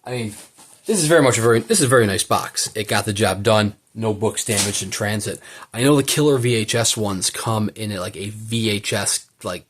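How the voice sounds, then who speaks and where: A man's voice: on the low side, fairly quick, breathy edge. A man giving a casual unboxing commentary, enthusiastic and rambling as he thinks aloud. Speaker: book collector presenting an unboxing.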